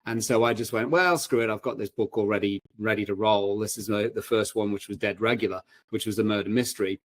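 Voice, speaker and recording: A man telling anecdotes as a panel guest, informal and halting, often correcting himself as he goes. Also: lowish voice, dry recording. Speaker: panel guest, self-published author. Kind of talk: casual anecdotal chat.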